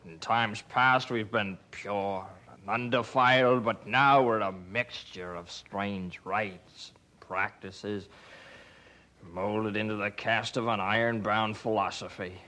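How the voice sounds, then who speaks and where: An actor playing a weary, sorrowful priest in a radio drama, speaking gravely with sighs of lament. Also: male voice, fairly low pitch, fairly even intonation, takes it slowly, pushed and bright. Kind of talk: old radio drama acting.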